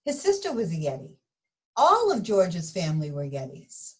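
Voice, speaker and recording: A woman reading a picture book aloud to young children, expressive and addressing them directly. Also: on the low side, moderate pace. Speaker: story reader for young children.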